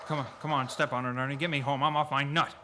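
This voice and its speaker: A man's voice: mid-range voice, little pitch movement, fast, pushed and bright, slight background noise, natural voice. A man playing a desperate, agitated family man who stammers and repeats himself in a radio play.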